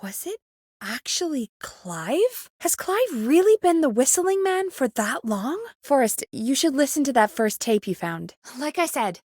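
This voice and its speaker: A woman's voice: fairly high pitch, no echo, clean studio sound. A woman playing a frank, anxious but caring radio producer in a video game, talking in an informal, emphatic, exasperated way. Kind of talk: conversational video game voice acting.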